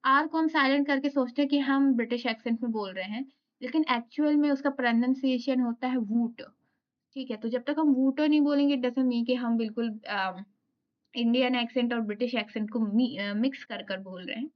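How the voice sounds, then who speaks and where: A woman with an Indian accent teaching a class, reading English notes aloud slowly and then explaining them again. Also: a little high, highs cut off.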